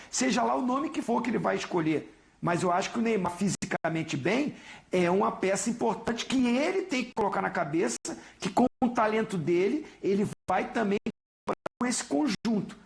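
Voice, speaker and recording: A man's voice: high voice, creaky. A man from Brazil speaking as a studio pundit in a TV football debate, laying out his points step by step. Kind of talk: TV sports debate.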